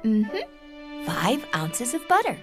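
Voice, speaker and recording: Sweet cartoon mama kangaroo, high lilting voice, gentle n slow, music behind. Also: female voice, huge pitch swings, heavily acted. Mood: patient. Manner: soothing, encouraging. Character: gentle, patient, motherly female cartoon kangaroo. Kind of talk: animated children's cartoon acting. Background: background music.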